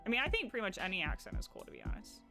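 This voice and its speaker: A woman's voice: medium pitch, very animated, very fast, music in the background. A young woman with a Midwestern accent talking casually to her stream chat in a rambling way, full of "like" and restarts. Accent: Midwestern American. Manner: chatty and rambling, heavy on "like" and restarts.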